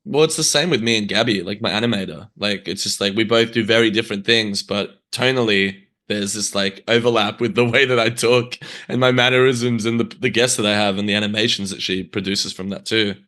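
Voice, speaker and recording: A man's voice: lowish voice, steady tone, fairly quick, few pauses, bright, forceful tone. A man hosting a casual interview, speaking in thoughtful, conversational phrases. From Australia. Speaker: podcast host.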